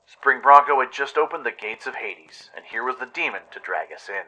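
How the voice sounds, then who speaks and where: A man's voice: mid-range voice, lively intonation, fairly quick, pushed and bright, faint music behind, low-quality recording. A man narrating a scripted adventure story dramatically, as in a radio play. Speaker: narrator and radio show host.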